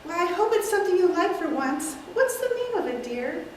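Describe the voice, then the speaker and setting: A woman reading the part of a sweet, genteel elderly aunt, gentle and matter-of-fact, in an echoey room far from the mic. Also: very high-pitched, big pitch swings, fairly quick, a little hiss or hum, voice pushed up. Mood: placid.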